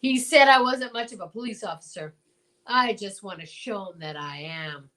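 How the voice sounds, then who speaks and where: A woman playing a stubborn, folksy small-town male marshal in an old-time radio drama, blustering and halting. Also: mid-range voice, animated, a bit bright, lightly acted. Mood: exasperated.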